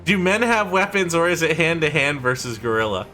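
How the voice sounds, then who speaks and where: Brief: twenties male streamer, bright voice, rambling casual chatter, music faint behind. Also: a little high, sing-song, a little fast. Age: 20s. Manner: rambling, trails off and restarts, laughs easily. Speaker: streamer playing a strategy game. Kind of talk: casual chat with a co-host while reading chat.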